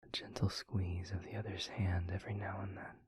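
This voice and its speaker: A man playing a tender, wistful demon lover in an intimate audio roleplay, whispering softly and haltingly in a breathy, husky voice, close to the mic. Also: sits low, flat delivery. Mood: dreamy, loving. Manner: slow, halting, soft-spoken delivery. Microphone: close microphone.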